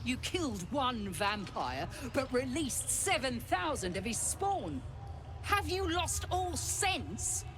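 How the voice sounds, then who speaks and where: Grave, slow-spoken female hunter. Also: high-pitched, sing-song, exaggerated voice. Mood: outraged. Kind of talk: dramatic video game acting. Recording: clearly noisy game ambience behind.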